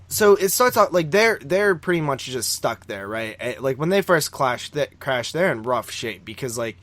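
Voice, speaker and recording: A man's voice: mid-range voice, fairly bright tone. A man co-hosting a podcast, casually recapping a film in a rambling, informal way with some swearing. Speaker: podcast co-host.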